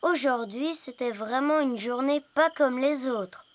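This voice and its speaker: A woman's voice: high voice, a little slow, a little hiss or hum. A woman voicing an honest, sensible little boy hippo in a children's cartoon, with a boyish voice and an earnest manner. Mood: calm, reproving. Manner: earnest, gently scolding.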